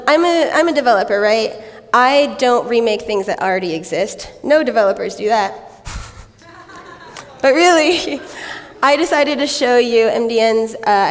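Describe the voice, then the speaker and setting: High, raspy-voiced woman giving a tech talk. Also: long reverb tail. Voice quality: raspy from a cold. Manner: playful, self-mocking, laughs often. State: recovering from a cold. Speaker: conference speaker. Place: conference hall stage.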